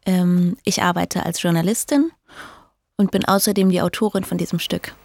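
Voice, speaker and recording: A woman in her thirties, a journalist, calmly narrating her own radio documentary. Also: fairly low pitch, moderate pace, gravelly. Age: 30s.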